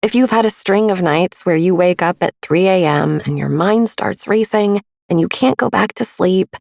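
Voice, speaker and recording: A woman podcast host narrating a sleep meditation in a soft, breathy, soothing and gentle manner. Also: medium pitch, dry recording, clean studio sound. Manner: soothing, hushed, gentle delivery.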